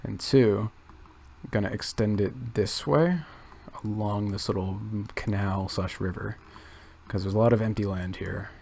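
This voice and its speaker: A man quietly thinking aloud in a gravelly low register, rambling with many restarts and fillers. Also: steady tone, unhurried, a little hiss or hum. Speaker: channel host. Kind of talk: casual rambling commentary.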